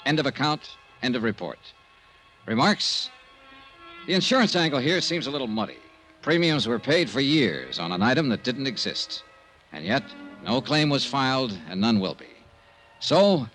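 An actor playing a wry, world-weary insurance investigator in a 1950s radio detective drama. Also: male voice, mid-range voice, a bit bright, low-quality recording. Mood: businesslike.

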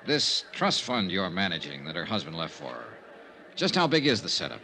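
An actor playing a brisk, skeptical American insurance investigator in an old-time radio mystery, with punchy, clipped, dramatic phrasing. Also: male voice, low-pitched, wildly swooping pitch, gravelly, pushed and bright, a little hiss or hum, exaggerated voice. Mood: probing, skeptical. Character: brisk, skeptical male insurance investigator, hard-boiled and wry. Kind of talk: old-time radio detective acting and narration. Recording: old radio recording, music bed.

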